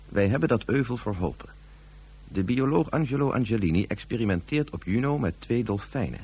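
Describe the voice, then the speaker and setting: A man playing a space investigator who dictates an official report in a radio play, formal and measured. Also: lowish voice, darkish tone, slightly rough, exaggerated voice. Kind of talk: scripted report in science fiction radio play.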